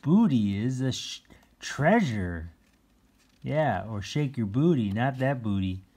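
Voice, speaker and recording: A children's read-aloud host with a husky voice, reading a picture book and adding playful exclamations and explanations for young listeners. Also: medium pitch, sing-song, takes it slowly, warm tone. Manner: exclaims, gasps, chuckles and explains words to children.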